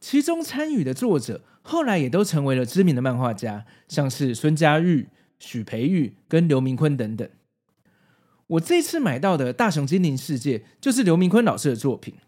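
A man in his forties speaks Mandarin with a Taiwanese accent, narrating like a video essayist. His voice sits a little high and swings widely in pitch. He explains things step by step, with nostalgic, casual asides. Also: big pitch swings, normal speed, darkish tone. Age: 40s. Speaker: host.

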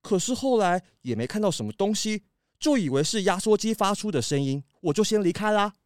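A man's voice: high-pitched, expressive, fairly quick, clear, resonant tone, no echo, studio quality. A man playing a chatty, obliging delivery worker who explains things casually in a children's audio detective drama. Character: friendly, chatty male supermarket delivery worker.

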